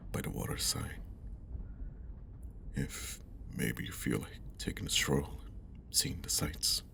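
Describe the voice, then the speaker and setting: A man acts out a caring young guy talking to an old crush in an ASMR roleplay. His voice is extremely deep and crackles with vocal fry. He speaks slowly and gently, half whispering in a shy, friendly way. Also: heavily acted. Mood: shy, hopeful. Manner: soft, gentle, breathy half-whispered delivery, hesitant. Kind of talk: ASMR roleplay, intimate one-sided conversation.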